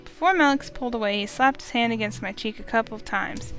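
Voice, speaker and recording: A woman's voice: fairly high pitch, fast, a bit bright, faint music behind. A woman narrating a visual novel in first person, emotive and urgent.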